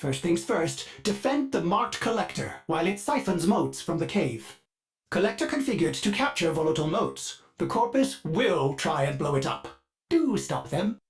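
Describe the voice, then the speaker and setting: A man's voice: a little high, very animated, normal speed, music in the background. An actor playing a courteous, doting male ship AI who speaks of himself in the third person, with glitchy stutters, through a synthetic filter. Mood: dutiful. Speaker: ship's onboard assistant. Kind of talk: video game voice lines.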